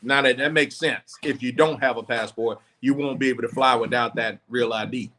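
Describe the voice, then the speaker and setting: A man's voice: medium pitch, steady tone, fairly quick, pushed and bright, a little hiss or hum. A man hosting a casual podcast chat, explaining things at length in a loose, rambling way with frequent restarts. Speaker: podcast host; former law enforcement officer.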